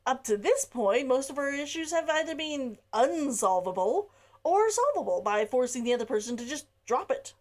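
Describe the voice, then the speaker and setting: Author reading own novel, woman, high and lively, expressive, sighs and laughs. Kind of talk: read-aloud narration and chatty asides.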